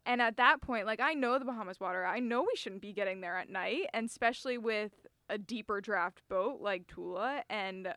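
A young woman telling a story in an animated, teasing way, laughing often. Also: medium pitch, moderate pace, few pauses, no room sound, clean studio sound. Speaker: guest. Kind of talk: casual storytelling.

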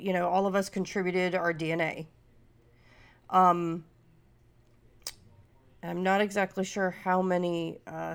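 Middle-aged woman, stuffy nasal cold voice, low and gravelly, rambling podcast chat. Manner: rambling, many fillers and restarts. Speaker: podcast host.

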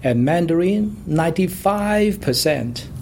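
Man, native Cantonese speaker, slow, hesitant, gravelly, library panel talk. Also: fairly high pitch, expressive, a little mellow, a little hiss or hum. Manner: hesitant, many pauses. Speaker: library associate. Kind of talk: panel discussion.

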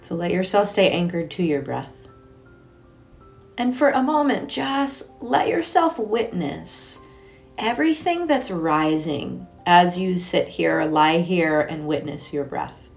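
A woman guides a meditation in a fairly low voice that often crackles into fry. Her delivery is gentle and soothing, leaving generous pauses between phrases. Quiet music plays behind her. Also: lively intonation. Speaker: meditation and yoga teacher.

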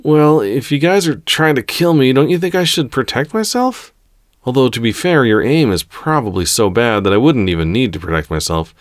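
Casting: male reader as cheeky young warrior woman, raised pitch, mocking, defiant, storybook style. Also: lively intonation, moderate pace, no echo, clean studio sound, lightly acted. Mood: sarcastic. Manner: mocking, bold. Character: feisty young female Jedi, sarcastic and defiant.

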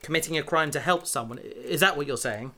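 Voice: mid-range voice, fast, bright, forceful tone. An actor playing an earnest, nervous, idealistic rookie researcher in an audio drama, hesitant and polite. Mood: earnest, defensive. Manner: hesitant, polite, lightly defiant.

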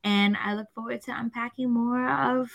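Guest, woman from Brooklyn, bright voice, chatty and rambling. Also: fairly high pitch, steady tone, moderate pace, creaky. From Brooklyn, New York. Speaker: podcast guest. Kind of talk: casual group chat.

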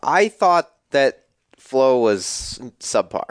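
A man's voice: medium pitch, sing-song, drawn-out words, dry recording, studio quality. A man co-hosting a casual sports podcast, rambling and joking with plenty of restarts. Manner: rambling, jokey, lots of restarts. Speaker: podcast co-host.

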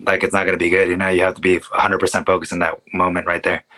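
A man with a very deep yet bright, crisp voice talks quickly and easily. Spanish was his first language, and he chats in a relaxed, agreeable way as a guest. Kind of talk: casual chat.

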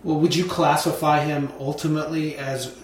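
A man's voice: on the high side, level tone, moderate pace. A man co-hosting a podcast, curious and direct, asking his guest pointed questions. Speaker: podcast co-host. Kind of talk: casual podcast chat. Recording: very echoey room, faint noise.

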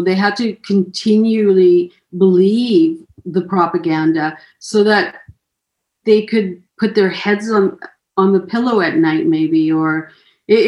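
A woman's voice: medium pitch, darkish tone, echoey room. A German-born woman in her fifties, whose first language is German, talking through her thoughts in an interview with frequent restarts and fillers. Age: 50s. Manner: hesitant, many restarts and fillers. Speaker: author guest. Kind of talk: conversational interview.

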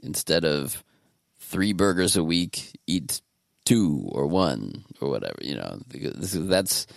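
A man's voice: a bass voice, animated, slow, gravelly, dry recording, studio quality. A man hosting a podcast in casual chat, talking in a laid-back, meandering way. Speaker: podcast host.

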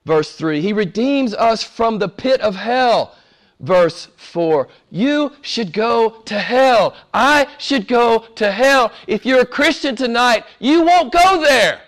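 Fervent high-voiced preacher man, hoarse. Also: animated, slow pace. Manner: fervent, swings from hushed to shouting. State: losing his voice from a cold. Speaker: pastor. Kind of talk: sermon.

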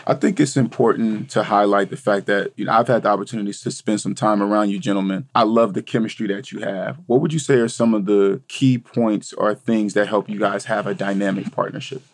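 A man's voice: on the low side, fairly even intonation, a little fast, hardly any pauses, dry recording. A man hosting a studio interview, talking in a casual, friendly way and calling his guests man and brother. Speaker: host.

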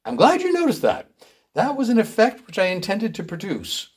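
An earnest author reading aloud. He has a fairly high male voice and a theatrical, sentimental, slightly pompous style of storytelling. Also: wildly swooping pitch, moderate pace, breathy edge, exaggerated voice. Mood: self-satisfied, pompous. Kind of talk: theatrical literary narration.

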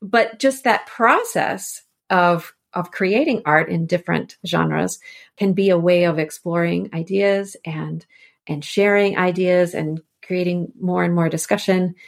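A woman's voice: lowish voice, a little slow, gravelly. A woman scholar speaking as a podcast guest, explaining ideas thoughtfully and conversationally, often repeating words as she works out a thought.

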